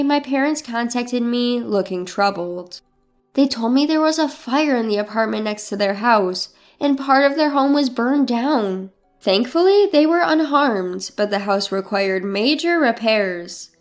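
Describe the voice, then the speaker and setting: High-pitched female story narrator over music. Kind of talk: scripted first-person dramatic narration. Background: background music.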